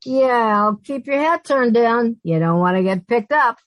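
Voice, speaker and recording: An actress playing a sarcastic, unimpressed roommate with deadpan wisecracks in 1940s radio sitcom style. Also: female voice, a little high, measured pace, no room sound, rough recording. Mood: dry sarcasm.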